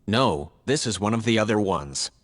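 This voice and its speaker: An earnest, logical male AI from a radio play, acted by a man. He reports in a measured, formal, factual manner. The voice is a little low, with a long hall-like reverb trailing it. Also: expressive, lightly acted. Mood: earnest, matter-of-fact. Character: male artificial intelligence, logical, earnest, troubled by humans. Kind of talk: scripted audio drama dialogue.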